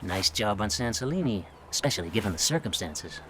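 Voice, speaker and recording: An actor playing an affable, wry, cautious intelligence officer in a video game, talking in a hesitant, conversational way. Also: male voice, on the low side, sing-song, brisk, bright, forceful tone, a little hiss or hum. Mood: wry, easygoing. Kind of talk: game cutscene dialogue.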